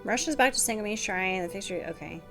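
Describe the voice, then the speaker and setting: A woman's voice: mid-range voice, quick pace, a bit bright. A woman game streamer giving casual, exclamatory live reactions as she plays. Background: game music.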